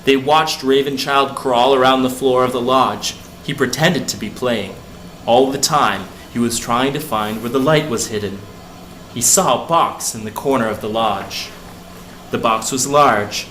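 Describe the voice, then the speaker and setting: A man's voice: mid-range voice, a little slow, a bit bright. A man narrating a folk tale for children in simple, short phrases. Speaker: storyteller. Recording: echoey room, faint background noise.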